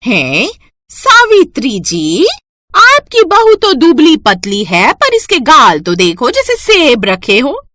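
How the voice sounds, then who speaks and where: A woman's voice: high-pitched, wildly swooping pitch, slow, a bit bright, no echo, clean studio sound, pitched above the performer's own voice, heavily acted. A woman voicing a gossipy village neighbour in a cartoon story, exclaiming and teasing with exaggeration. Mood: amused mocking.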